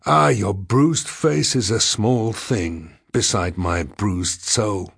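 Tormented professor, acted by a male reader: vengeful, impassioned gothic storytelling, husky voice, raised pitch. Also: slow pace, a bit bright, no echo, clean studio sound, full character voice. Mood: anguished. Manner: impassioned, melodramatic.

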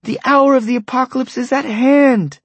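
A shrill, zealous old wife, as a male narrator puts her on. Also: steady tone, normal speed, a bit bright, husky, no room sound, full character voice. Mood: tearful prophecy. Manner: shrill, pleading. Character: religious fanatic wife, shrill and tearful.

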